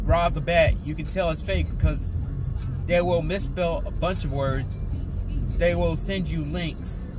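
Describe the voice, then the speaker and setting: Male vlogger, slightly high voice, halting and unscripted, recorded in a car over loud music. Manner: rambling, halting, heavy on fillers. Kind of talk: casual talk while driving. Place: inside a moving car.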